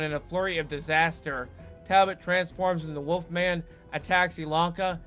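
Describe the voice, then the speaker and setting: A man's voice: on the high side, a bit bright. A man hosting a scripted video essay, narrating with wry asides to the viewer. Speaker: host.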